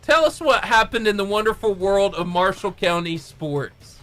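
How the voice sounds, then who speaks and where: A man's voice: sits high, expressive, normal speed, sharp tone. A playful male radio host, joking and bantering with his co-hosts. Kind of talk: casual banter.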